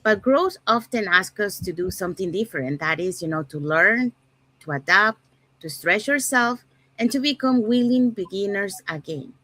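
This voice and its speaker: A woman from Panama hosting an online panel talk, relaxed, often saying "so yeah". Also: medium pitch, moderate pace, poor audio. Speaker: host.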